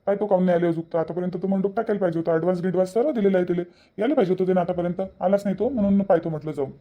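A man's voice: high voice, very fast, highs cut off. A man voicing a dutiful grown son in a cartoon, matter-of-fact, with a Vidarbha Marathi accent. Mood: busy. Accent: Vidarbha Marathi (Varhadi). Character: dutiful grown son preparing a family party. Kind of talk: cartoon family drama dialogue.

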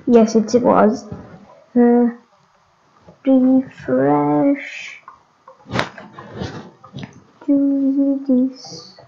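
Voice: high voice, gravelly, dark tone. A gaming host giving a casual walkthrough of game updates, hesitant and thinking aloud, on a dull lo-fi microphone.

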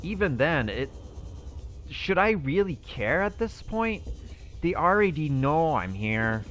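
A man's voice: on the high side, very animated, takes it slowly, a bit bright. A man thinking aloud in character while he plays a survival game, musing and hesitant as he goes. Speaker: roleplay gaming channel host.

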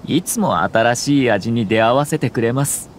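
An actor playing a refined young noble lord in a game, with polite, formal, measured speech. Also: male voice, medium pitch, a little hiss or hum, close to an everyday voice. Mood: relaxed. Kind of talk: Japanese game voice acting. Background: background music.

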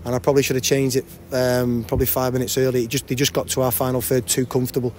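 A man in his forties, a football manager, giving a post-match interview at a stadium with a handheld mic. He talks fast and often restarts and repeats words. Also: mid-range voice, little pitch movement, a little mellow, slight background noise. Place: outdoors by a cafe banner at a stadium.

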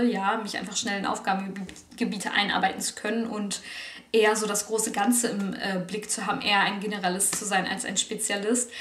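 A woman's voice: medium pitch, recorded from a distance. A woman in her late twenties from Germany, talking candidly to camera about her life in a casual, rambling way with fillers and restarts. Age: late 20s. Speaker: vlogger. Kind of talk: casual personal talk to camera. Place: small room indoors at home. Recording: roomy sound, speaker a bit off-mic.

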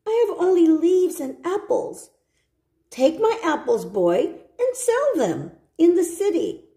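A woman voicing a loving, generous female apple tree in a children's storybook, with a gentle, coaxing manner. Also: extremely high pitch, sing-song, measured pace, echoey room, voice pushed up, exaggerated voice. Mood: apologetic, giving.

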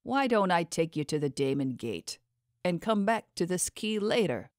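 A grumbling male stone carver, put on by a woman narrator talks to a baby in a fantasy audiobook. He is gruff and dry, muttering in a low pitch, recorded dry and close. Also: sing-song, moderate pace, no room sound. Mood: wry, resigned.

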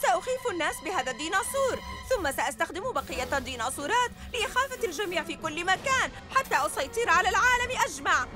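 Gloating boy villain, very high, lively pitch, loud cartoon music behind. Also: rapid-fire, hardly any pauses. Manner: gloating, cackling. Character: boastful boy villain scientist, goggles, cackling.

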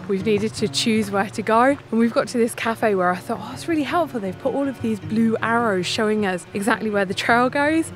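Woman in her thirties, travel vlogger, chatty and upbeat, outdoors with background music, medium-pitched voice. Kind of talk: hiking vlog narration. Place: outdoors on a mountain trail. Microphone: phone camera at arm's length.

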